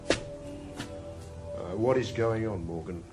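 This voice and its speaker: An actor playing a stern police officer in a 1980s radio drama. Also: male voice, lowish voice, extremely slow, music in the background. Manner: stern, authoritative.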